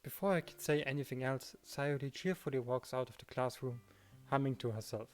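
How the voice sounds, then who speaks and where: A man's voice: mid-range voice, a little fast, lightly acted. A man plays an earnest teenage boy, the protective lead of a visual novel. He narrates and delivers dialogue in a mid-range voice, over background music. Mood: narrating.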